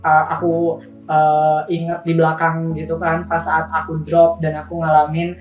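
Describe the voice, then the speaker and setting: A woman speaks in a low, fairly flat voice, casual and earnest, like an interview guest encouraging friends. The sound is echoey and a little dull, set back from the microphone, with music behind. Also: moderate pace, far from the mic. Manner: earnest, informal, addressing viewers as friends. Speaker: interview guest, patient sharing their story.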